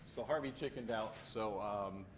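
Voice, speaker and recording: A man in his forties summarizing a session at a conference into a handheld mic, halting with many fillers and restarts. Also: fairly low pitch. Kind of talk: impromptu summary talk. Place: large conference room. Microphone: handheld microphone. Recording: very echoey room with noise.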